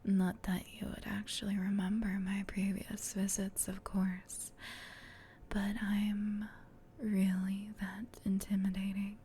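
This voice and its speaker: Woman voicing a slow, whispering female demon. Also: medium pitch, completely flat delivery, slight background noise, close to an everyday voice. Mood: mocking, gloating. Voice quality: breathy, whispered. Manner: slow, teasing, hushed, intimate. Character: female sleep paralysis demon, menacing tease turned flustered gentle comforter. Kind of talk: whispered ASMR roleplay.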